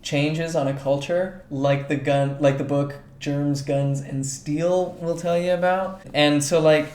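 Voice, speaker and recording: A man's voice: on the high side, fairly even intonation, slow, darkish tone. A man co-hosting a casual commentary, sarcastic and given to mimicking others in mock quotes. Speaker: co-host. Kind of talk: casual, animated conversational commentary. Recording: roomy echo, faint background noise.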